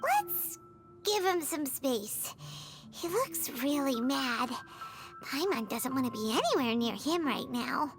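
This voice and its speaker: Casting: tiny chirpy game sidekick fairy, naive, extremely high and squeaky, bouncy intonation. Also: female voice, sing-song, slow pace, music in the background, full character voice. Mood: wary, confused. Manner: squeaky, speaks of herself in third person. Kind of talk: cartoonish video game voice acting.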